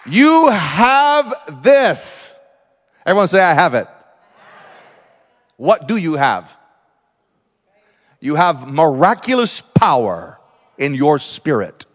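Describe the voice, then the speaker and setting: A man preaching as a visiting missionary, telling healing stories and declaring loudly, rising to exclamations. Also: a little high, big pitch swings, takes it slowly, pauses often, in a large hall, some chatter behind. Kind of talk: pentecostal sermon.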